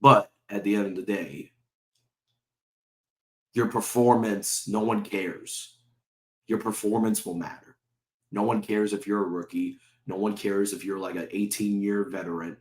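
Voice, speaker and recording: Low-voiced man with a sore throat, ranting. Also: fairly even intonation, a little slow, long pauses, reverberant. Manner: heated, profane, repetitive rhetorical questions. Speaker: sports channel host. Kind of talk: ranting fan commentary to camera.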